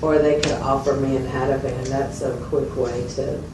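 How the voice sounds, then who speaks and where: A woman's voice: rumbling low, little pitch movement, moderate pace. A woman playing a cooperative psychiatric client in a role-played interview, giving short, simple, matter-of-fact answers. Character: cooperative female psychiatric client, plain-spoken and brief. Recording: roomy, distant mic, loud background noise.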